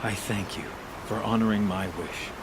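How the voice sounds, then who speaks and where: A man's voice: on the low side, steady tone, close to an everyday voice. A man playing a weary, embittered, grieving man in a video game. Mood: weary, subdued. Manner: weary, slow, bitter. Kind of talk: video game dramatic dialogue. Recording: clearly noisy game ambience.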